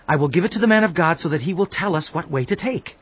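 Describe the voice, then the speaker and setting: Husky, eager servant. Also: male voice, on the high side, animated, fast talker. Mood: eager, hopeful. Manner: eager, persuasive. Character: helpful, hopeful male servant of ancient Israel. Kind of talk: dramatized audio Bible acting. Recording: slightly dull sound.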